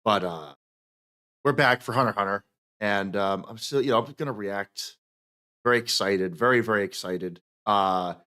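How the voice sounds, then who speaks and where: A man with a cold opens his stream, his voice rough from it. He speaks in a somewhat low register and talks loosely, rambling a bit and starting and restarting his sentences. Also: bright, forceful tone. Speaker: channel host. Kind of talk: casual stream intro.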